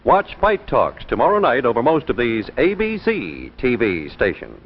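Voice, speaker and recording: Lively American announcer man, vintage broadcast. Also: medium pitch, moderate pace, lo-fi sound, slight background noise. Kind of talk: scripted 1950s promotional announcing.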